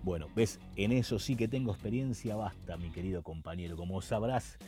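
Actor as low, mellow Argentine slacker co-host. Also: male voice, a little fast, faint music behind, lightly acted. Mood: boastful, chummy. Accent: Argentine Rioplatense. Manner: chummy, rambling street slang. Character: slangy, irreverent male slacker posing as film expert. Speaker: radio show co-host. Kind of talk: comedic radio theatre banter.

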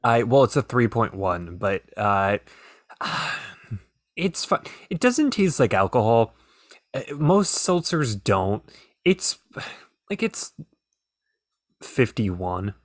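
A man co-hosting a casual podcast, hesitant and rambling, often restarting his sentences. His voice sits at a middle pitch in a dry, close recording. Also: very animated, takes it slowly, pauses often, dry recording. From Pennsylvania. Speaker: podcast co-host. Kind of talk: casual chat.

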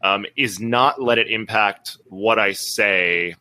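A man's voice: on the low side, slow, pushed and bright. A man talking candidly in a casual podcast conversation as a host, acting out quotes as he tells anecdotes. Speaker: podcast host and school administrator.

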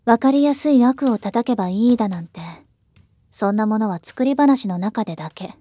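A woman's voice: on the high side, mellow, lightly acted. A woman playing a world-weary, ironic elder sister in a voice drama, musing wistfully. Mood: probing, pensive. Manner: slow, wistful, rhetorical musing. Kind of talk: Japanese voice drama acting.

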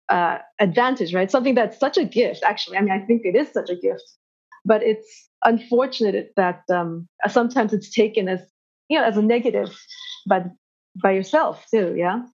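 A woman from Japan with an American accent, sharing her thoughts reflectively in a discussion forum, halting and restarting often. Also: medium pitch, darkish tone, slightly rough. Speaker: forum participant. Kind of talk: casual personal sharing in a discussion. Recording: dull lo-fi audio.

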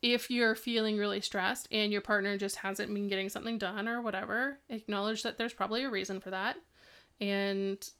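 A woman's voice: mid-range voice, fairly even intonation, a little fast, fairly bright tone, smooth. A woman in a mid-range voice co-hosting a podcast from home. She offers advice on parenting stress, rambling casually with plenty of fillers. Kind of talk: casual chat, giving tips.

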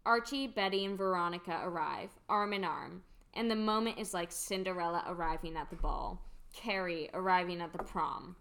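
A woman narrates a radio play, reading out the scene settings and stage directions. Her voice is a little low. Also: steady tone, normal speed, fairly bright tone.

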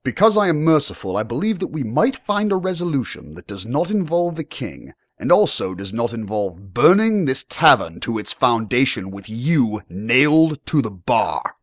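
A man acts out a young fantasy lord who speaks with formal, imperious authority. His pitch rises well above a low storyteller's register and swings widely, with righteous menace in a close, dry sound. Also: moderate pace, hardly any pauses, no room sound. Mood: cold threat. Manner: formal, imperious, bellowing or ominously calm. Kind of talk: fantasy audiobook character acting.

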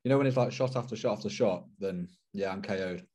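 A man's voice: fairly low pitch, quick pace, darkish tone, a little hiss or hum. A young adult man co-hosting a casual football podcast, chatty and laid-back with fillers. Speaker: podcast co-host. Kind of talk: casual chat.

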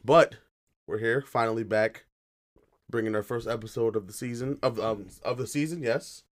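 Tired, raspy-voiced male podcast host. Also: medium pitch, normal speed. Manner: laid-back, joking slang. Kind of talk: casual chat.

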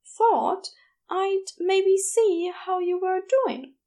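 A woman voicing a sweet, shy, polite angelic man in an audiobook story, hesitant and softly gushing. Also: extremely high pitch, extremely slow, voice pushed up, heavily acted. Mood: nervous, polite.

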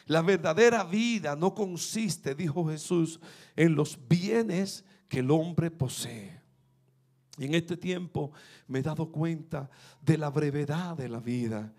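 Fervent preacher, high-pitched. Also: male voice, slow pace, darkish tone. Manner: fervent, rising to emphatic peaks, repeats phrases. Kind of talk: sermon.

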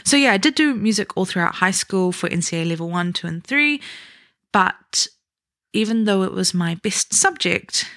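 A woman's voice: mid-range voice, animated, fairly bright tone, no room sound, studio quality. Chatty New Zealand woman hosting a podcast. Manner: chatty, self-deprecating, unhurried storytelling. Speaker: podcast host. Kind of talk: casual solo podcast talk.

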